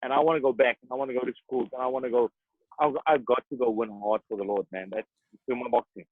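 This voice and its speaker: A boxer, talking earnestly and emphatically in an interview, often repeating himself. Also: male voice, medium pitch, fast, slightly rough, no echo. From South Africa. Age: 30s. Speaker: boxer guest. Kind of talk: interview conversation. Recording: tinny phone-like dry sound.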